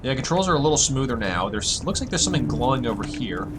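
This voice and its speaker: A man with a husky, tired voice, musing aloud in relaxed let's-play commentary as a gaming channel host. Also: mid-range voice, fast, background noise.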